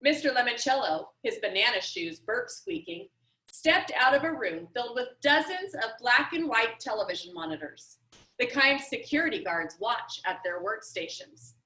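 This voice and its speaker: A woman's voice: fairly high pitch, big pitch swings, moderate pace, sharp tone. A woman reading a children's novel aloud with dramatic, emphatic storytelling. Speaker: audiobook reader. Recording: roomy echo.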